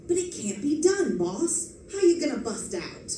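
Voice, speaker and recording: An actor playing a slow-witted, doubting gangster's henchman in an old-time radio drama, with blunt, slangy talk. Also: very high voice, big pitch swings, dark tone, heavily acted. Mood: doubtful. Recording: echoey live stage, distant mic, faint noise.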